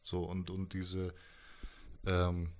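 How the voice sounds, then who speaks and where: Low-voiced man, halting and colloquial. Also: completely flat delivery. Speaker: musician, interview guest. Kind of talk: personal interview storytelling. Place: indoors, living room with instruments. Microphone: handheld microphone held close.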